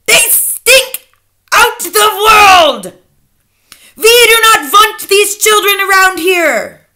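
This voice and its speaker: A woman's voice: very high-pitched, expressive, extremely slow, sharp tone, pitched above the performer's own voice, full character voice. A woman voicing a furious, tyrannical female witch leader in a storybook, screaming imperiously and drawing out her menacing words. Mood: furious, disgusted.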